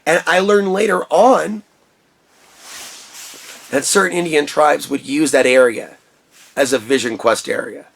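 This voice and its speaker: Booming, impassioned man vlogging. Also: a little slow, vocal fry, long pauses, fairly bright tone. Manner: impassioned, ranting. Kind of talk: personal vlog monologue. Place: insulated shed studio.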